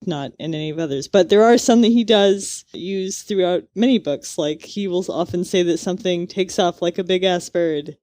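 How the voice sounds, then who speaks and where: A woman's voice: fairly low pitch, normal speed, few pauses, a little mellow, no room sound, clean studio sound. A woman co-hosting a podcast in casual, chatty talk. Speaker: podcast co-host.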